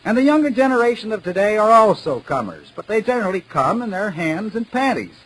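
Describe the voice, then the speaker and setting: A man's voice: high voice, sing-song, fairly quick, a bit bright, a little hiss or hum, poor audio. A man playing a pompous, mock-serious radio announcer giving an oratorical comedy speech in 1940s style on an old 78rpm record. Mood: mock-solemn.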